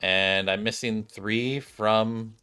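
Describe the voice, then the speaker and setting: A man with a fairly low, bright voice hosts a gaming video. He comments casually and chattily on his play, speaking directly to his viewers. Faint game music runs behind him. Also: steady tone, slow pace, clear, resonant tone. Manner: chatty, addresses viewers as guys.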